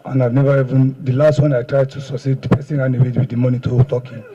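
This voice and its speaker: A man with a Nigerian accent and a husky voice, giving deferential, guarded answers as a suspect under questioning. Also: medium pitch, flat delivery, fast, background noise. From Ekiti State, Nigeria. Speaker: paraded fraud suspect being questioned. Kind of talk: public confession under questioning. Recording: dull, muffled audio.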